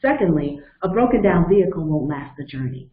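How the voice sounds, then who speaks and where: A woman's voice: lowish voice, animated, fairly quick. A woman in her fifties leading a motivational webinar, explaining step by step and telling personal stories in an earnest way. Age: 50s. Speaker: webinar facilitator and coach. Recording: echoey, phone-like narrow sound.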